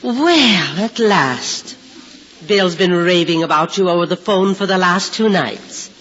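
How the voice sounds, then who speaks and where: An amused, teasing mother, who speaks slowly and draws out her words. The old broadcast sounds muffled with a faint hiss. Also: fairly low pitch, animated, fairly bright tone. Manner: amused, drawn-out speech. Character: warm, teasing middle-aged mother. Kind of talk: 1950s radio drama acting.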